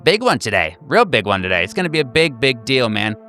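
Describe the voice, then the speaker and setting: A man voicing a smarmy, deeply sarcastic government agent who talks in a mocking, fake-chummy, insistent way for a tabletop horror game. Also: big pitch swings, fast, bright, forceful tone, soft background music.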